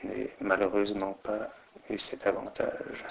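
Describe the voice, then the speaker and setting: A middle-aged man from Portugal, answering interview questions hesitantly and pausing often. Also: lowish voice, completely flat delivery, gravelly, slight background noise, low-quality recording. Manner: hesitant, many fillers and restarts. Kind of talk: oral history interview.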